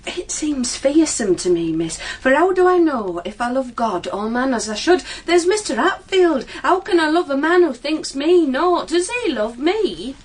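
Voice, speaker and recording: A woman's voice: high voice, very animated, a little slow, few pauses. An actress playing a humble, kindly, anxious cottager woman with a homely, plaintive manner in a period audio drama.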